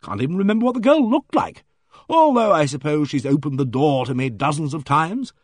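A man voicing a loud, blunt, self-assured middle-aged woman who booms her opinions. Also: expressive, a little fast, no echo. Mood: brisk, booming. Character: booming, bossy, complacent middle-aged woman.

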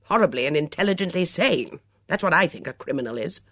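A woman voicing a blunt, vigorous country woman in an audiobook story, gruff and clipped. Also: deep voice, animated, brisk, fairly bright tone, no room sound, studio quality. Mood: forceful. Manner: clipped, barking.

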